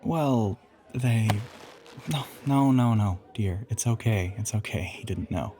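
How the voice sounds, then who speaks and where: A man's voice: takes it slowly, mellow, a little hiss or hum. A man playing an ancient, suave male vampire in an intimate audio roleplay, teasing and smug, with formal, theatrical phrasing. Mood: polite charm. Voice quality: low, breathy half-whisper.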